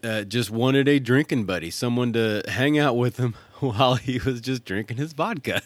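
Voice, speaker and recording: A man's voice: mid-range voice, moderate pace, no room sound. A man hosting a podcast in casual solo chat, jokey and informal in his delivery. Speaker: host. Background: music playing under the voice.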